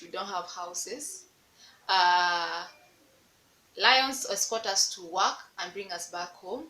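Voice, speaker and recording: Bright-voiced Kenyan woman vlogging. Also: mid-range voice. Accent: Kenyan Luo. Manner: playful, repetitive rhetorical calls to the viewer. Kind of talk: casual direct-to-camera vlog. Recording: echoey room.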